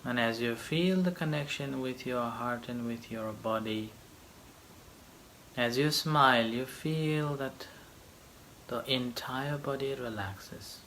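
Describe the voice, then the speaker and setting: Male meditation teacher, slow delivery, short phrases, long pauses, light background noise. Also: medium pitch, a bit bright, rough recording. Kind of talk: guided meditation, slow gentle instruction.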